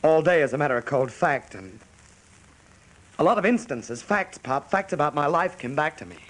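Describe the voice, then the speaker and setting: An actor playing an anguished son in a radio drama, straining to get the truth out in an earnest, halting, pleading way. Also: male voice, a little high, expressive, a bit bright, poor audio. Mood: earnest, strained. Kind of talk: stage-style radio drama acting.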